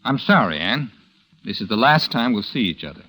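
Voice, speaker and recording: An actor playing a conceited, cold writer who also narrates, in a 1940s radio drama. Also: male voice, fairly low pitch, sing-song, a little fast, sharp tone, full character voice, low-quality recording. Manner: smug, dismissive, curt. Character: cold, conceited man-about-town writer. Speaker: narrator and lead character. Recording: old radio broadcast.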